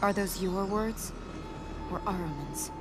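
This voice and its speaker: Loud music plays behind a woman acting a young princess from a video game. She speaks at a medium pitch, earnest and resolute, in short sentences with a dry edge. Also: unhurried, natural voice. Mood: dry reproach. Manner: serious, terse, dry.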